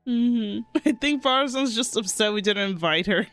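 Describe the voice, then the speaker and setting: A woman streamer giving casual, excitable and giggly reaction commentary on a game. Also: fairly high pitch, moderate pace, faint music behind.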